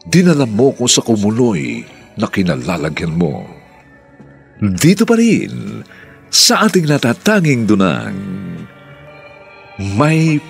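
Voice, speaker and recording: A man with a Filipino accent narrating a radio serial as its announcer in a theatrical, measured style. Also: medium pitch, big pitch swings, gravelly, faint music behind. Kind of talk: dramatic radio serial narration, reading credits.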